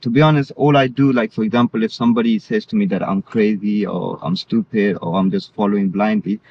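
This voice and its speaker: A man's voice: fairly low pitch, steady tone, a little fast. A man born in Denmark, earnestly explaining his beliefs in a casual conversation, often restarting his sentences. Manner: earnest, hesitant with many restarts. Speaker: interviewee. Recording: slightly dull recording with faint noise.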